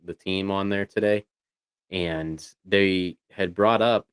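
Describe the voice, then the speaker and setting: A man's voice: sits low, fairly even intonation, slow, clean tone, no echo. A man chatting casually as a livestream co-host, talking in a rambling way with lots of "you know"s. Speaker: co-host of a voice tech show.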